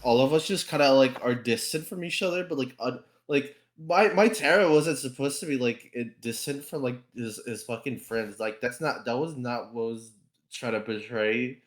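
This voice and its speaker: A man's voice: mid-range voice, normal speed. A man chatting casually on a podcast, rambling and swearing as he stammers through restarts. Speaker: podcast panelist and fandub voice actor. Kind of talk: casual group chat about a game fandub.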